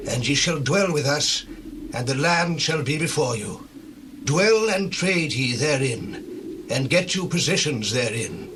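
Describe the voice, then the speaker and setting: A courteous ancient chieftain speaks formally in a Bible drama. His voice is a little high, heard over noticeable noise. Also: lively intonation, a little slow. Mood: courteous, inviting. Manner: formal, declamatory. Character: courteous male chieftain proposing an alliance. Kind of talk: dramatized scripture reading.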